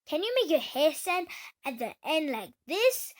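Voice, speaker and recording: A woman's voice: high voice, very animated, measured pace. A woman voicing an unkind, haughty cat and hen in a storybook, bossy and dismissive. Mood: scornful.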